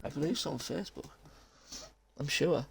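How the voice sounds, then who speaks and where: A man's voice: a little high, expressive, moderate pace, gravelly, a little hiss or hum. A young man hosting post-race interviews on a racing league stream, chatty and jokey. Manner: chatty, laughs a lot, jokey. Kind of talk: casual live-stream interview chat.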